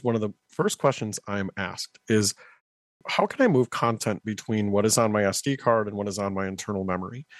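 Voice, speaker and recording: Guest, male, lowish voice, upbeat and eager, dry close recording. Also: no echo. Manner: upbeat, enthusiastic, with fillers. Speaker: director of partnerships guest. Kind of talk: casual interview talk.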